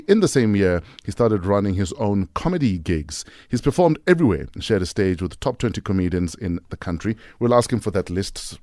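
A man's voice: fairly low pitch, dry recording. A man with a South African accent hosting a morning radio show in a studio, teasing and joking with his guest. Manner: lively, jokey, teasing interviewer. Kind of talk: morning radio show banter and read announcements. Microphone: studio microphone.

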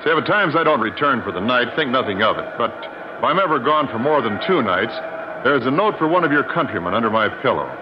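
A man plays a cool, confident American adventurer. His mid-pitched voice moves expressively in matter-of-fact 1950s radio style, on a muffled old recording. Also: very animated, fast talker, noisy background, lightly acted. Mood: casual, curious. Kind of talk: 1950s radio drama acting.

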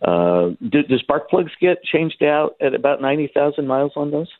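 A man's voice: fairly high pitch, big pitch swings, moderate pace, no echo. A man calling in to a radio show, asking questions politely and a bit hesitantly. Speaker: caller. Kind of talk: casual phone call. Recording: tinny phone line.

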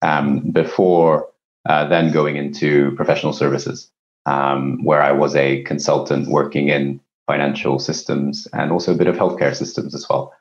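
A man's voice: rumbling low, flat delivery, normal speed, dull audio. A man from Japan speaking as an executive guest, explaining things conversationally in an interview. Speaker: company CEO, podcast guest.

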